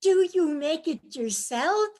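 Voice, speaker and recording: A woman's voice: high-pitched, expressive, slow, a little mellow. A woman playing a naive, eager, cheerfully enthusiastic tourist wife in an amateur staged play reading. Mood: curious, eager. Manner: eager, wide-eyed, chirpy.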